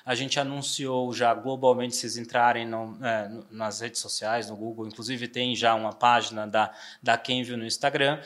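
A man in his forties from Bahia, with a Bahian accent worn smooth by years in São Paulo. He talks through his career in a loose, conversational way as a guest on a business podcast. His pitch sits in the middle of the range, and he leans on fillers and quick "né?" tags. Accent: Bahian Portuguese softened by São Paulo. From Bahia, Brazil. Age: 40s. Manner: relaxed, frequent fillers and tag questions. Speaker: trade marketing director guest.

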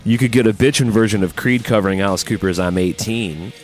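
Male podcast co-host, lower voice, informal fan chat about rock, faint music underneath. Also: a little fast.

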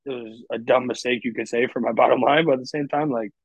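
A man's voice: medium pitch, fairly even intonation, fast, husky, dry recording. A man in his twenties, a podcast guest talking candidly and rambling, filling his speech with "like". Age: 20s. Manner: candid, rambling, heavy use of "like". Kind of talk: casual podcast conversation. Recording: dry, dull lo-fi audio.

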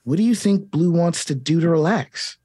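A bouncy young kids' show host as a man reads him with a husky edge, swinging his pitch all over as he coaxes the viewer along. The sound is dry and close. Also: expressive, moderate pace, mellow, dry recording. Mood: cheery. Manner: singsong, talking to the viewer. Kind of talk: comedic table read.

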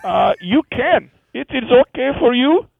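A man doing a high rasping Indian woman. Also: lively intonation, dry recording, full character voice. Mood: rambling. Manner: halting, broken English with many fillers. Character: woman amputee from India with transplanted hands. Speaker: phone-in comedy caller. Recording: tinny phone line.

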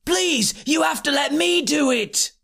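A man's voice: sits high, lively intonation, a little slow, creaky, heavily acted. A man voicing an eager, impatient young boy in an audiobook, whiny and insistent. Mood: desperate pleading. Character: eager impatient tiny schoolboy, pleading and stubborn. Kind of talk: audiobook character acting.

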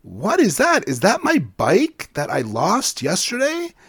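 A man's voice: high voice, wildly swooping pitch, unhurried, fairly bright tone, slightly rough, pitched above the performer's own voice, full character voice. A man voicing a friendly young boy who speaks earnestly.

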